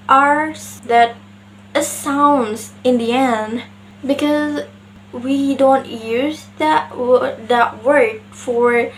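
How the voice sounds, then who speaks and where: A young woman with an Indonesian accent, a native Indonesian speaker, explaining her pronunciation mistakes slowly as she searches for words. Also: a little high, slight background noise, rough recording. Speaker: student. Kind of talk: class presentation on pronunciation.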